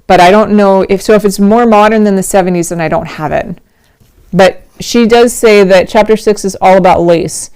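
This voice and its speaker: A middle-aged woman, a little hoarse, hosting a casual livestream from home, chatty and rambling with frequent laughs. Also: medium pitch, moderate pace, darkish tone. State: slightly hoarse. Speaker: host of a knitting livestream.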